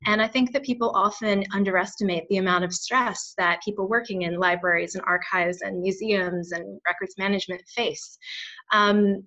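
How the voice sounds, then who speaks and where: A woman's voice: medium pitch, fairly even intonation, moderate pace, sharp tone. An American woman presenting a webinar over a video call, friendly and earnest with many "um" fillers. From United States. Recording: dull lo-fi web call audio.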